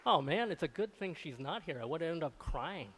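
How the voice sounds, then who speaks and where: A man giving an informal, halting acceptance speech, repeating words as he goes, in a reverberant hall. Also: fairly high pitch, lively intonation, a bit bright, breathy edge, a faint crowd. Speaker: award honoree. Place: large indoor event hall. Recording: echoey hall with long reverb.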